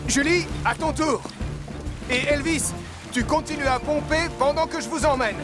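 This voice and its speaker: An actor playing a dutiful rescue firefighter in a cartoon, giving brisk, purposeful orders. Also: male voice, very high-pitched, over music. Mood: brisk, in command. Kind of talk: animated cartoon acting in French dub.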